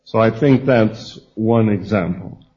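Man, very slow and earnest, reads aloud, dull lo-fi recording. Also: fairly low pitch. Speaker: panelist. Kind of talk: church panel discussion.

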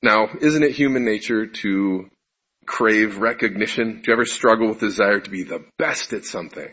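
A man with a deep voice giving a conversational sermon to his congregation, asking them questions and poking fun at himself. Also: normal speed, a bit bright, slightly rough. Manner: conversational, self-deprecating, asks the audience questions. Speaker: preacher giving a congregation talk. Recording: muffled lo-fi recording.

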